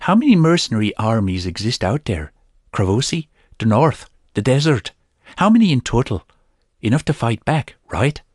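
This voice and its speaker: A man's voice: mid-range voice, very animated, moderate pace, darkish tone, slightly rough, dry recording, pitched above the performer's own voice. A defiant young rogue swordsman whose voice rises and falls with heated insistence, as a male narrator puts him on. The recording is dry and close. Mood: insistent.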